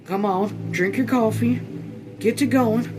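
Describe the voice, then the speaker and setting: A man's voice: sits high, unhurried, music in the background, pitched above the performer's own voice. A man voicing a commanding, hardworking farm mother with folksy rural phrasing in a read-aloud story. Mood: urgent, commanding. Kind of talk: audiobook character read aloud.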